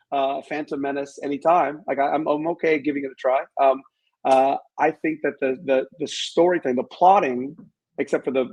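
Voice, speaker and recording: Male interview guest: slightly high, husky voice, careful hedged delivery, pausing to think. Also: darkish tone, muffled recording. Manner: measured, hedging, halting while thinking aloud. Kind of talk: reflective interview conversation about films.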